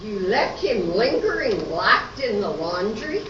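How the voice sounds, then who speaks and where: A woman's voice: medium pitch, sing-song, slow pace, heavy background noise. A woman playing a fretful, dramatic housemate in a comic amateur radio play. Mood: scolding. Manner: exclaiming, theatrical. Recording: echoey, distant, dull, with loud crowd.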